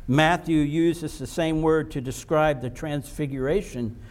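A man preaching a sermon, slow and emphatic, repeating words for stress. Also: on the high side, fairly even intonation, a little mellow.

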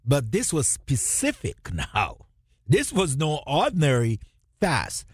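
A Jamaican man, a pastor co-hosting a radio ministry show, preaching emphatically with rhetorical questions and drawn-out words. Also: mid-range voice, wildly swooping pitch, breathy edge, no echo, studio quality.

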